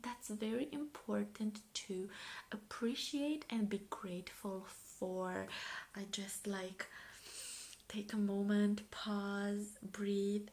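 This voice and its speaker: A woman from Romania with a Romanian accent, speaking softly in an ASMR style and often slipping into a whisper, musing and repeating short phrases. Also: medium pitch. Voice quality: soft, often breaking into whispers. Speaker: ASMR video creator reviewing a magazine. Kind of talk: soft-spoken ASMR.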